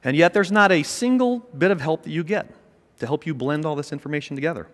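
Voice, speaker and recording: A middle-aged man giving an energetic, emphatic keynote speech on a large conference stage, with a small mic. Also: fairly high pitch, fast. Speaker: keynote speaker, company chairman. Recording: long reverb of a large hall.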